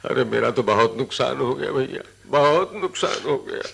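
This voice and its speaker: An actor playing an oily, greedy village moneylender feigning sympathy in a Hindi radio drama, gravelly and drawling, repeating his phrases with questioning tags. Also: mid-range voice, animated, normal speed, fairly bright tone, heavily acted. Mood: mock mourning.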